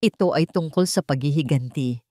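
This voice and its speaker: A woman's voice: deep voice, moderate pace, mellow, dry recording, clean studio sound. A woman reading a scripted dramatic story as its narrator.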